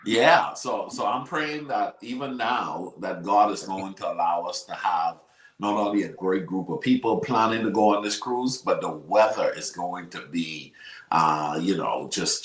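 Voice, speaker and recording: A man from the Bahamas with a Bahamian accent, hosting a talk show in an upbeat, excitable way and repeating his phrases. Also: on the low side, echoey room, low-quality recording. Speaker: host. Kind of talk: casual talk show chat.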